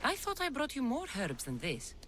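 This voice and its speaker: A tough mercenary from an ancient-world game. She is dry and self-assured, with lots of movement in her pitch. Faint ambience sits behind the voice. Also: huge pitch swings, normal speed, noisy background. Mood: businesslike. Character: tough, wry female mercenary in ancient Greece. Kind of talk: video game cutscene acting.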